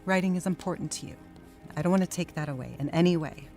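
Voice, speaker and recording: Woman acting deep voiced boozy wife, hurt n defiant, radio play w faint music. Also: quick pace, dark tone, close to an everyday voice. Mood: resigned. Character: troubled, hard-drinking wife, wounded and defiant.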